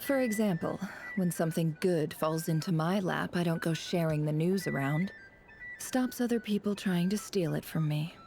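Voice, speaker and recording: An actress playing a shrewd, secretive woman spy in a video game, measured and coolly wry. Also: lowish voice, noisy background, lightly acted. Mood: cool, guarded. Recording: game music behind.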